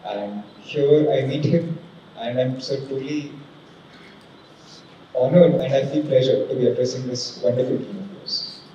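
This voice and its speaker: Young man, mellow voice, earnest formal talk, dull distant echoey hall sound. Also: mid-range voice, measured pace, pauses often, slight background noise. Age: 20s. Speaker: guest speaker, civil judge. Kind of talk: motivational talk. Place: large conference hall.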